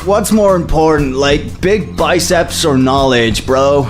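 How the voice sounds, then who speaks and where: Man doing a high-pitched laid-back stoner bro gnome. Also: takes it slowly. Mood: laid-back, friendly. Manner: slangy drawling bro talk. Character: tiny muscle-bound stoner gnome, laid-back, friendly, dim. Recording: music playing under the voice.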